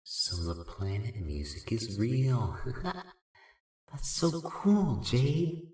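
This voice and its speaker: A man playing a laid-back, supportive winged sprite in an amateur audio drama, with a casual, slangy, drawn-out way of talking. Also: medium pitch, big pitch swings, darkish tone. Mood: impressed, playful. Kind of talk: amateur fan-fiction audio drama acting. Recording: roomy, echoing voice track.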